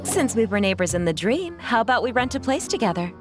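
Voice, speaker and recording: A woman's voice: fairly high pitch, sing-song, fairly quick. A woman playing a friendly, straightforward young townswoman in a video game, plain and upbeat. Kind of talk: scripted video game dialogue. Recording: game dialogue over clear music.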